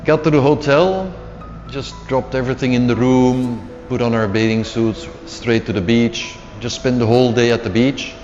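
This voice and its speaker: A middle-aged man whose first language is Dutch, telling his story as an author in a halting way, often repeating words and restarting. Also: on the low side, darkish tone. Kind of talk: casual spoken account. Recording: dull sound with long reverb and faint music.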